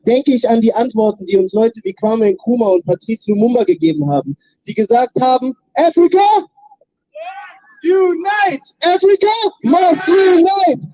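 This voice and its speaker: A man from Berlin giving a rousing protest speech at an outdoor street rally, leading chants into a handheld mic. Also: very high-pitched. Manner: rousing, leads chants, shouts slogans. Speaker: rally speaker. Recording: dull lo-fi sound.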